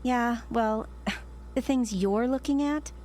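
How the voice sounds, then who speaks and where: A woman's voice: a little high, slow, a little mellow, slight background noise. An American woman acting in a radio drama as a bullying, belittling wife with a sarcastic, needling manner. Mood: scornful.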